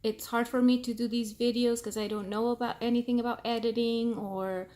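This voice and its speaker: A woman in her thirties whose first language is Portuguese, speaking English with an accent in a casual vlog, pausing often with ums as she searches for words. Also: fairly high pitch, flat delivery, mellow. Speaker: vlogger. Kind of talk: casual talking-to-camera vlog.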